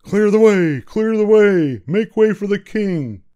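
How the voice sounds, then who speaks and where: Shouting, high-pitched royal guard captain. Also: male voice, lively intonation, slow, clean tone. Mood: urgent, commanding. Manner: shouting, proclaiming. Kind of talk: storybook character voice.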